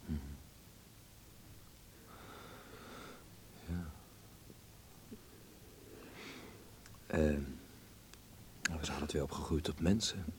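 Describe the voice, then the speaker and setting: An actor playing a guarded, observant young stage actor in love, talking in a halting, low-key, husky way in a radio play. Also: male voice, steady tone, background noise. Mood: tender, subdued. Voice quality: husky, gravelly. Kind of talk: Dutch radio play acting, intimate dialogue.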